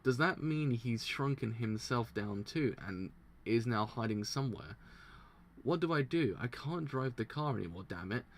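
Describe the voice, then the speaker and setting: A man voicing a young tough gunman who is shrinking, muttering frantically and swearing under his breath in an everyday middle-pitched voice. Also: lively intonation, moderate pace, slight background noise, close to an everyday voice. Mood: anxious.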